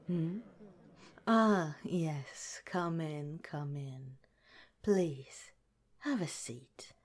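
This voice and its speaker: A woman voicing a calm, caring woman scientist director in an ASMR roleplay, speaking gently and politely in a soft, whispery way. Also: deep voice, extremely slow, heavily acted. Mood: gentle, reassuring.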